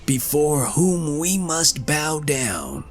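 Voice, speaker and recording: A man voicing a cautious wolf, speaking slowly with a slightly raised pitch. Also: big pitch swings, faint music behind, heavily acted. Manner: slow, drawn-out, slightly raised. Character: wary male wolf, cautious.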